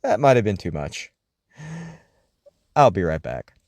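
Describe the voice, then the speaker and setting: A man's voice: sits low, sing-song, unhurried, darkish tone. A man working as a host, reading listener mail in a scripted radio-show style with a theatrical, wry, musing delivery.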